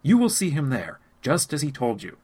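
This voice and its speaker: A man reading as a calm young messenger. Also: medium pitch, moderate pace, breathy edge. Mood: reassuring. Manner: firm, reassuring announcement.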